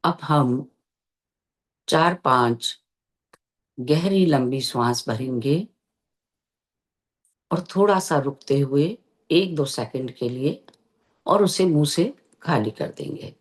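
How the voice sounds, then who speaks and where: A meditation teacher leading a guided session, giving gentle instructions with long pauses between phrases. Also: mid-range voice, expressive.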